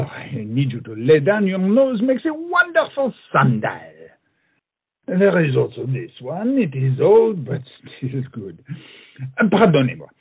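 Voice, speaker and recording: Pompous duellist, high and gravelly, theatrical comic delivery, phone-like muffled sound. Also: male voice, heavily acted. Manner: theatrical, mocking, peppers speech with French phrases. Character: pompous, flamboyant big-nosed French swordsman, witty and proud. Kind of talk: comic stage play acting. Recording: muffled, tinny stage play recording.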